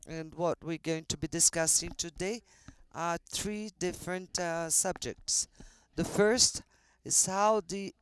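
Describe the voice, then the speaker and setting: A man's voice: fairly high pitch, takes it slowly, fairly bright tone, a little hiss or hum. A man interpreting a conference talk simultaneously, in a choppy, stop-start way.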